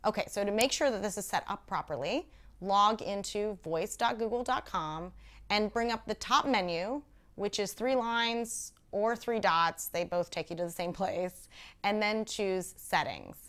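A woman in her thirties hosting an instructional video, explaining each step clearly to camera with conversational asides. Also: medium pitch, measured pace, a bit bright. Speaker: video host and presenter. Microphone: lapel mic.

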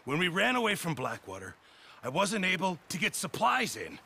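A fussy middle-aged cook in a western game. His voice is gravelly and animated, with a blustery, plaintive manner. Noise is clearly audible behind him. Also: high voice, normal speed, heavily acted. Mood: aggrieved. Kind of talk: video game cutscene acting.

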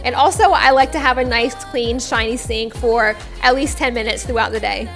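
A woman's voice: on the high side, fairly even intonation, moderate pace, fairly bright tone. A young woman, a stay-at-home mom, narrating her cleaning routine in a chatty, upbeat voice-over. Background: faint background music.

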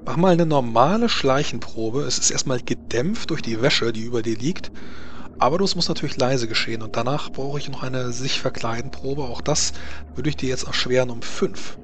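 A man narrating a tabletop game as game master, halting as he searches for words. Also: medium pitch, a little hiss or hum.

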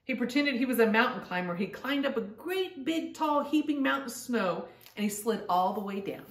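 A woman's voice: a little high, animated, moderate pace. A middle-aged woman hosting a storytime, reading a picture book aloud and chatting directly to young listeners in simple words. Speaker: storytime host reading to grandchildren. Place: living room at home, indoors. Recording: roomy echo, distant microphone.

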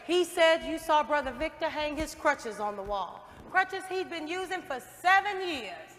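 A woman acts a fervent, folksy maid with a high voice. She speaks out slowly and emphatically in a stage musical, in a hall with long reverb. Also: expressive, a bit bright, a little hiss or hum. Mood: pleading. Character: devout, outspoken maid full of fervent faith. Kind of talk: musical stage play acting. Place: theatre stage.

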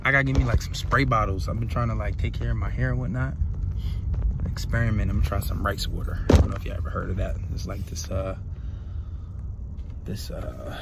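A man's voice: lowish voice, fairly quick. A young man casually vlogging to his phone at arm's length from a parked car, talking in a loose, rambling way and swearing freely. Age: young adult. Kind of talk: casual vlog talk to camera. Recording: loud background noise in a car.

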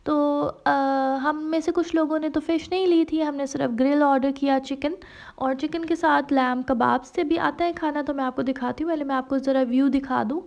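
High-voiced chatty woman travel vlogger. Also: steady tone, moderate pace, creaky, darkish tone, dull audio. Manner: chatty, mixes English phrases into Hindi-Urdu, many fillers. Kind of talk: casual travel vlog narration.

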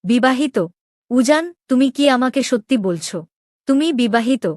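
A woman plays a young princess in a cartoon drama, her voice fairly high and closely recorded. She is proud and wounded in love, pressing her questions with open, demanding emotion. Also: moderate pace, a little mellow, dry recording, studio quality, lightly acted. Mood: disbelieving, upset. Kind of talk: dramatic Bengali animated story dialogue.

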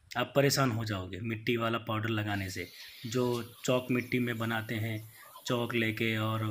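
A man's voice: mid-range voice, a bit bright. Practical man explaining a polishing job. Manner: plain, practical explaining with small restarts. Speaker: wood polisher. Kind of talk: how-to voice-over.

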